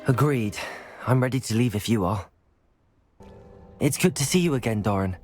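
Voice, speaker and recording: A man's voice: mid-range voice, moderate pace, darkish tone, faint music behind, natural voice. An actor, a man, playing a supportive young student of magic in a video game, with a considerate, polite manner. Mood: polite, questioning. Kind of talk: video game cutscene acting.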